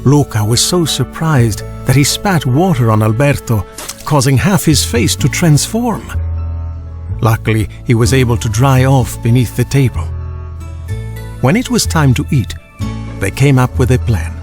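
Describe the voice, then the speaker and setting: A man's voice: mid-range voice, animated, a little slow, darkish tone, faint music behind. A man reading a children's storybook as a scripted audiobook narrator.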